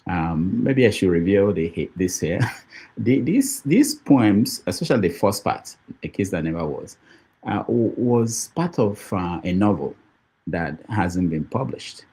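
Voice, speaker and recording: Middle-aged guy, deep and a bit husky, poet talking about his book, lots of ums and restarts. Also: animated, unhurried, mellow. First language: Igbo. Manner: hesitant, many fillers and restarts, laughs easily. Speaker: guest poet. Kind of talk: conversational book discussion.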